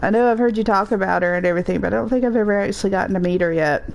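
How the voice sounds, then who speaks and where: A woman's voice: mid-range voice, racing, vocal fry, darkish tone, slight background noise. A woman with a Southern US accent, chatting casually as she livestreams a game. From Southern United States. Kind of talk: casual chat while streaming a game.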